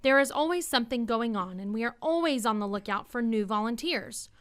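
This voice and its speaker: A woman's voice: on the high side, animated, a little fast, clean tone. A woman reading a scripted promotional announcement as a community theater spokeswoman.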